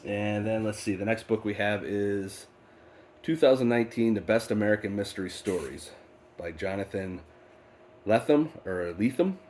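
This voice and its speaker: A man with a very low voice, stuffy and congested from a head cold. He talks in a relaxed, unhurried way, filling gaps with "uh" and "um" as he goes through his books. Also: slight background noise. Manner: relaxed and unhurried, with many fillers. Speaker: book channel host. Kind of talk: casual unboxing talk. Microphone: off-camera voice, faceless video.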